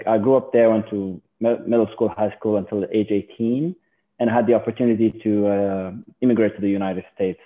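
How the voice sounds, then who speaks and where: A man from Lebanon, a physician guest in an interview, talking in a halting way with many fillers and restarts. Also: fairly low pitch. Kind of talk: casual interview. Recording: tinny phone line.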